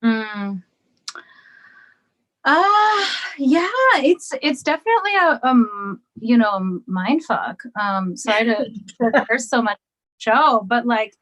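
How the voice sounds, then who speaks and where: A woman who is a musician guest on a podcast, answering in a reflective, hesitant way with many pauses and restarts. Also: a little high, expressive, fairly bright tone, low-quality recording. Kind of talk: casual interview chat.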